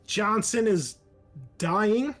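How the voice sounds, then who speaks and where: A man with a slightly high voice acts as game master for a tabletop horror session. He moves casually between vivid scene narration and rules talk, swearing now and then. Faint music plays underneath. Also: extremely slow, fairly bright tone.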